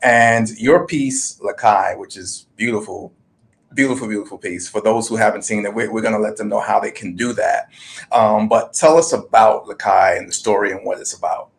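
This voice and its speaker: A man's voice: lowish voice, moderate pace, vocal fry. A man hosting an interview, friendly and conversational, introducing and praising his guest. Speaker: podcast host.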